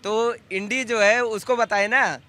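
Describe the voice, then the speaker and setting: A man's voice: high-pitched, fairly even intonation, takes it slowly, crowd noise. A man in a street debate who talks over the others and repeats himself. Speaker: debate participant.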